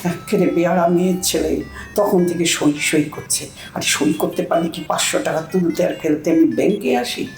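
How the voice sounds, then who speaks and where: A woman's voice: on the low side, brisk, a little mellow, reverberant, faint music behind, natural voice. A woman playing a bossy, boastful older housewife in a comic audio drama, scolding and wheedling with self-important chatter. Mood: smug.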